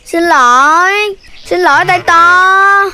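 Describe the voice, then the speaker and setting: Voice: very high-pitched, takes it slowly, bright, forceful tone, a little hiss or hum, heavily acted. A voice actor playing a timid, obedient little animal child in a children's cartoon, childlike and hesitant. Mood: apologetic. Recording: faint music behind the voice.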